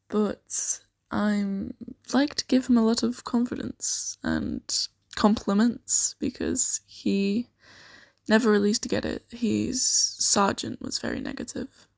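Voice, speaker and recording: A woman improvising an ASMR roleplay as a kind, shy, flustered boss of a secret sanctuary, speaking softly and hesitantly with giggles. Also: medium pitch, creaky, no echo, clean studio sound, heavily acted. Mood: fond, proud. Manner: hesitant, giggly, soft-spoken.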